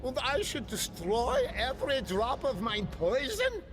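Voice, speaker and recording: A man's voice: extremely high pitch, sing-song, a little slow, in a large hall, exaggerated voice. A man playing a frantic, self-justifying government scientist in a video game cutscene, with a wordy, theatrical, agitated manner. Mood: indignant, proud. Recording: loud music behind.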